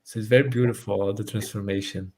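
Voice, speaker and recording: A man's voice: lowish voice, fairly even intonation, a little fast, a little mellow. A Brazilian-born man raised in Portugal, a native Portuguese speaker with a Portuguese accent, talking earnestly as a podcast guest and restarting phrases as he searches for English words. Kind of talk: casual conversation.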